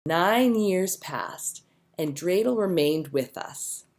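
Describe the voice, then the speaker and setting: A woman reading a children's story aloud as a narrator, with expressive storytelling delivery. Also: lowish voice, slow pace. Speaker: storybook reader.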